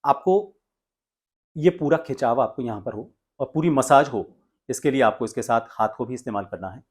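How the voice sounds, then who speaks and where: A man with a mid-pitched voice teaches as a voice coach. He explains in an instructional tutorial style, taking the listener through things step by step. He is from India and presents directly to his viewers. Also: normal speed, slightly rough.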